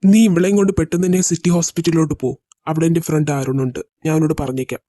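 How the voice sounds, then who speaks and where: Woman playing a firm male officer friend, low dark voice, calm, dry close sound. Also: very fast, no echo. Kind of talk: amateur audio drama voice acting.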